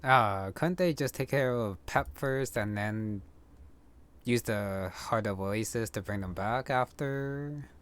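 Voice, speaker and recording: A man's voice: on the low side, slow pace, a bit bright, clean tone. A man giving casual gameplay commentary, muttering and thinking aloud as he plays. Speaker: gamer. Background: game music.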